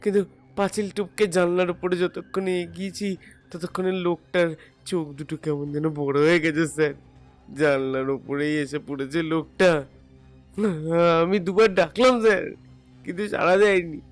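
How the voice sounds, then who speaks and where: A man acting a frightened, apologetic young labourer in an audio detective drama, halting, stammering and pleading with deference. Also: a little high, fairly even intonation, faint music behind, lightly acted. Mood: frightened, agitated.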